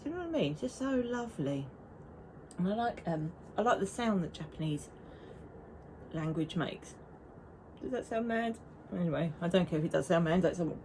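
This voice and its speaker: Knitting vlogger, woman, lower voice, chatty, restarts her sentences, quiet room hum. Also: animated, moderate pace, long pauses, low-quality recording. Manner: chatty and rambling, with frequent restarts. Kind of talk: casual chat to camera.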